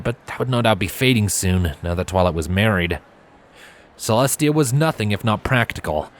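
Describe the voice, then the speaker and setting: Low-pitched male story narrator. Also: a little hiss or hum. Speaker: fan fiction narrator.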